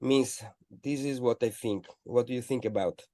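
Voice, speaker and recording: A man hosting a radio interview, speaking haltingly with lots of fillers and restarts. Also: mid-range voice, mellow, dry recording. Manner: hesitant, halting phrasing with many fillers. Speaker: radio host.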